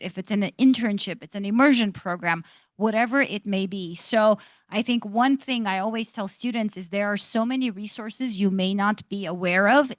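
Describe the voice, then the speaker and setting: A woman's voice: medium pitch, no echo. A woman speaking as an institute director on an academic panel, articulate and enthusiastic, encouraging students. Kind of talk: panel discussion answer. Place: lecture hall panel event.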